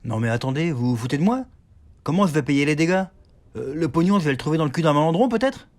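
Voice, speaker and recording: A man's voice: a little high, lively intonation, quick pace, darkish tone, close to an everyday voice. A man playing a surly, foul-mouthed bar owner from a crime clan in a sci-fi audio drama, sarcastic and confrontational. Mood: sarcastic, annoyed.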